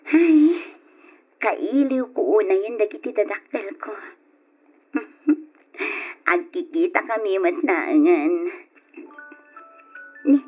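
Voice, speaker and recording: An actress with an Ilocano accent playing an affectionate young daughter in a radio drama. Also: female voice, medium pitch, animated, slow, pauses often, breathy edge. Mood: cheerful anticipation. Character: affectionate young woman, daughter coming home, later grieving. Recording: dull lo-fi radio recording, music behind.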